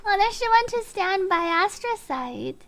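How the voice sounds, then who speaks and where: A woman's voice: very high-pitched, very animated, unhurried, fairly bright tone. A woman streamer giving casual, gushing reactions while playing a game. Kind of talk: casual gameplay reaction commentary.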